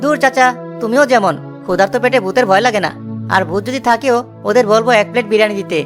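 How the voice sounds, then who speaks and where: A foolish, food-loving young village man from a cartoon, voiced by a woman. He whines and lazes in a fairly high voice, carefree and dreamy, with soft music underneath. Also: fast talker, lightly acted. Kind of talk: cartoon story voice acting.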